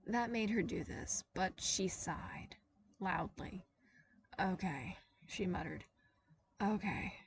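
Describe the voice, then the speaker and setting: A woman narrating a fan fiction story as a podfic reader, in a lively and expressive reading. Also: lowish voice, slow pace, gravelly, distant mic, a little hiss or hum.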